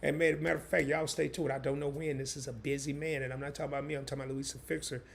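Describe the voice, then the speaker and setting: Hoarse, gravelly-voiced man. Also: medium pitch, little pitch movement, very fast, slight background noise. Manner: loose and slangy, talks straight to the viewers. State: hoarse, losing his voice. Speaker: live stream host. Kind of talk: casual live stream chat.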